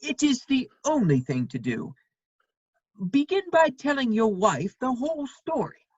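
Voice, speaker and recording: A woman playing a worldly, witty gentleman counselling a friend in a dramatic play reading, with wry, measured phrasing. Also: on the high side, very animated, breathy edge, dry recording, muffled recording, heavily acted. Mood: sympathetic, counselling.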